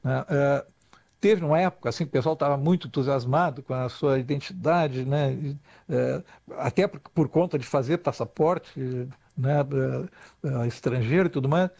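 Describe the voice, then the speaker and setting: A man's voice: mid-range voice, normal speed, gravelly, a little mellow, no room sound. Older man, talkative and dull-toned. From Rio Grande do Sul, Brazil. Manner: rambling, lots of restarts and "tá?" tag questions. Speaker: guest expert. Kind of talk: conversational interview. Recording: dull lo-fi dry sound.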